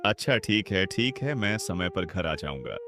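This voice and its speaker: A man's voice: deep voice, big pitch swings, normal speed. A man voicing a humble, hardworking poor farmer in a cartoon, talking in a respectful, earnest way. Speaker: voice actor. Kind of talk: cartoon dialogue acting. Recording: background music under voice.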